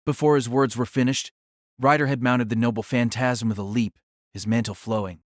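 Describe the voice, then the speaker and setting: A man's voice: mid-range voice, fairly even intonation, normal speed, dry recording, studio quality. Male narrator reading a fantasy drama. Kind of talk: scripted audiobook narration.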